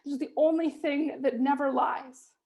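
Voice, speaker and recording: A woman who stutters, reading her own spoken word poem in a measured, rhythmic way over a video call. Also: high-pitched, fairly even intonation, gravelly, mellow, dull audio. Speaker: poet reading her chapter.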